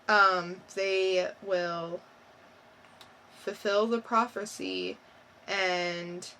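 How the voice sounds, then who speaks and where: Slow-paced, bright-voiced woman chatting. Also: mid-range voice, slight background noise. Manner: halting, unsure, thinking aloud. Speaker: podcast co-host reviewing comics. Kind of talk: casual, unscripted review chat.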